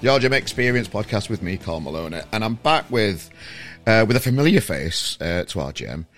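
A man's voice: on the low side, sing-song, a little fast, a bit bright, faint music behind. Rambling man hosting a podcast. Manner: rambling, with many restarts and laughs. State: irritable, voice going on him. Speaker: podcast host. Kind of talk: casual chat.

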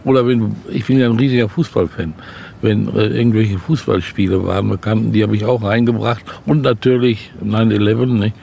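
Older man with a gravelly voice. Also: on the low side, fairly even intonation, brisk, mellow, slight background noise. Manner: rambling, chatty storytelling. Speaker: interview guest, novelist. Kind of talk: casual interview chat.

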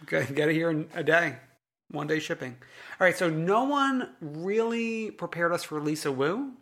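Man, slightly high pitch, podcast co-host, wry, joking, casual recap talk. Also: lively intonation, a bit bright. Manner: wry, joking asides, quick agreeing "yeah"s. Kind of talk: casual reality TV recap chat.

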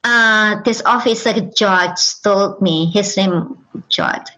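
Woman, Indonesian accent, unhurried delivery, mid-range voice, halting non-native English, frequent fillers and restarts. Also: medium pitch, sharp tone, poor audio. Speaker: interview guest. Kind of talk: personal testimony.

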